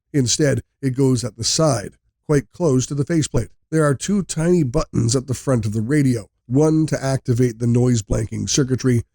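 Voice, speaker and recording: Man narrating with a gravelly, creaky voice. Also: mid-range voice, dark tone, no echo, studio quality. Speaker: narrator of product countdown video. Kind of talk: scripted narration.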